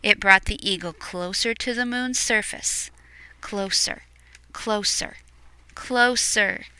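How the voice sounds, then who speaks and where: A woman reads a children's storybook aloud at an unhurried pace. Her voice is very bright and crisp, recorded close and dry. She is expressive and drops into quieter explanatory asides for young listeners. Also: mid-range voice, no room sound. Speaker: picture book reader.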